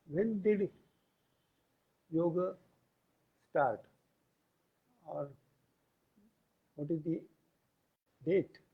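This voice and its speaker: A man giving an explanatory lecture, deliberate and pausing between short phrases. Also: a little high, creaky, breathy edge. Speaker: yoga lecturer. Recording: tinny, phone-like band-limited audio.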